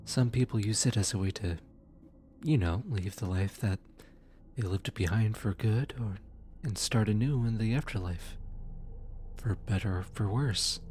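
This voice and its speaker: Male actor as gentle demon soul collector, lowish voice, very slow pace, soft ASMR roleplay, hesitant and sympathetic. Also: slight background noise, close to an everyday voice. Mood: awkward, friendly. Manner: hesitant, halting, tender, casual. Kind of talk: ASMR audio roleplay, soft comforting delivery.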